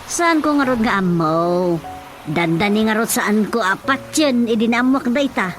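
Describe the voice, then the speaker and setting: Aktres a kas managunget nga asawa, nangato ti timek, adda musika. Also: female voice, on the high side, animated, moderate pace, lightly acted. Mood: gossipy, alarmed. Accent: Filipino. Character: nagging, gossipy wife of village chairman. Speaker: actor. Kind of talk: Ilocano radio drama comedy acting. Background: music.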